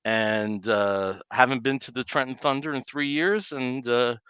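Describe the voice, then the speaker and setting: A middle-aged man chatting as a podcast host, rambling through his stories with plenty of fillers. His voice is bright and crisp. The sound is dry and slightly dull, as from a home mic on a call. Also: medium pitch, unhurried, no echo. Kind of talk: casual chat.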